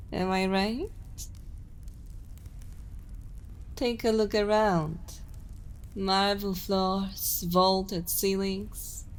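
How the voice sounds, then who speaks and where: A woman playing an imperious, seductive evil queen in an ASMR roleplay, menacing yet motherly, with a deliberate, dominant delivery that often drops into whispers. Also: medium pitch, darkish tone, close to an everyday voice. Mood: silky, amused.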